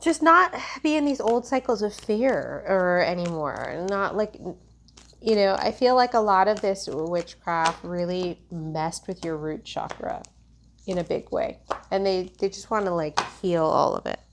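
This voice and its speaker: A woman's voice: on the low side, animated, a little slow, slight background noise. A woman giving a tarot reading in a loose, meandering way, full of fillers and restarts. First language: English. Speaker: tarot reader. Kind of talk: casual spiritual tarot reading.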